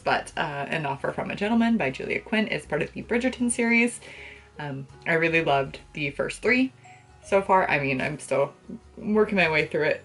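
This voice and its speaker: Casting: young woman, low-voiced, casual book vlogger, gushing and playful with slangy delivery, bedroom setting. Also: fairly bright tone. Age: young adult. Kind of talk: casual chat to camera about books. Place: small bedroom, indoors.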